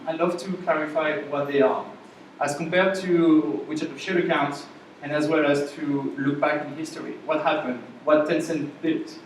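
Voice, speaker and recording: A man's voice: a little high, a little mellow, a little hiss or hum. A man with a French accent giving a casual, chatty class presentation to a live audience, often checking in with the room. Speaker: workshop instructor. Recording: echoey room, voice from far off mic.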